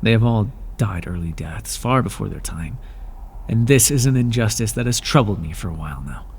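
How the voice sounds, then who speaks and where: An aloof, lonely underworld god, played by a man with a low, gravelly voice. He talks close to the mic in a dry, unhurried, sardonic way, with a faint hiss behind him. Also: lively intonation, a little mellow. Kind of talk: ASMR roleplay.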